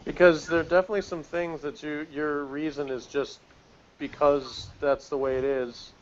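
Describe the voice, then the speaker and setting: A man's voice: fairly high pitch, flat delivery, normal speed, slight background noise. A man co-hosting a review show, chatting informally and bluntly. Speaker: podcast co-host reviewing TV episodes. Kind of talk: casual chat. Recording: dull, lo-fi recording.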